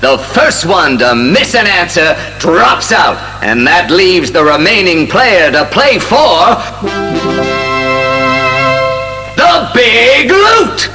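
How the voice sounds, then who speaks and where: A man's voice: high voice, big pitch swings, over music, heavily acted. A man playing a showy, patronizing game show host in an audio drama, with a hammy announcer's delivery. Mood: showy, upbeat. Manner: hammy, drawn-out announcer shouts. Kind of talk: audio drama acting as quiz show emcee.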